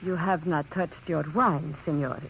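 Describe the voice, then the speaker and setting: A woman's voice: low-pitched, steady tone, measured pace, a little hiss or hum. Stern, imperious mother, formal delivery, vintage radio drama, dull recording. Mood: haughty, wary. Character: stern, haughty, protective Italian mother. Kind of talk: 1940s radio drama acting.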